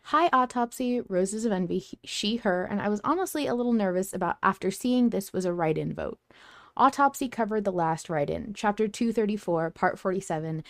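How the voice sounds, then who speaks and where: A woman's voice: lowish voice, no room sound, clean studio sound. A woman hosting a casual fan podcast, talking in an effusive, gushing way. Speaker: podcast host.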